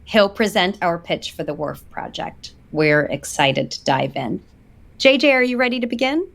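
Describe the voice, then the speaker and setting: An actress playing a polished, sharp-tongued corporate sister in an audio soap opera, assertive and easily exasperated. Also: female voice, on the low side, expressive, moderate pace, gravelly. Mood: poised, professional.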